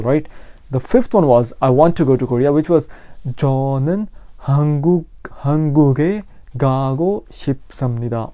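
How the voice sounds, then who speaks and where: Pakistani accent guy hosting a casual language lesson, mellow medium-pitched voice, repeats phrases for learners. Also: no room sound. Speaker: language teacher and channel host.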